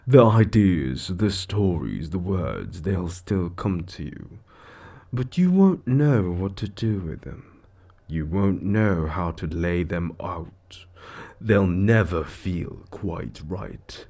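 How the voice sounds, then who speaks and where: A man's voice: sits low, very animated, slow pace, warm tone, full character voice. A man voicing a suave, gloating devil lord in an audio roleplay, theatrical and condescending, savoring his words as he toys with a mortal. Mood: disdainful, cold. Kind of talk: scripted audio roleplay monologue.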